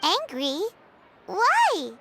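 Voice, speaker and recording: A woman voicing a tiny, childlike, excitable fairy companion in a video game, squeaky and wide-eyed. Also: drawn-out words, slight background noise, heavily acted. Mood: curious. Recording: loud music behind the voice.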